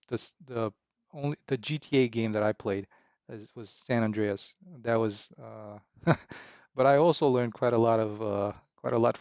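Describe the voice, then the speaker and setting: A man in his thirties from Romania, chatting casually with livestream viewers in a halting, relaxed way full of fillers and restarts. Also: mid-range voice, clean tone, no echo. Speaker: indie game developer streaming. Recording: dull, slightly lo-fi dry sound.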